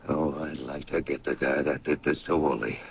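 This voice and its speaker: An actor playing a blunt, proud, middle-aged owner of a family paint company in a radio drama, speaking haltingly. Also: male voice, rumbling low, steady tone, gravelly. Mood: troubled. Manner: hesitant, halting talk with ums and pauses. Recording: muffled, noisy old radio drama recording.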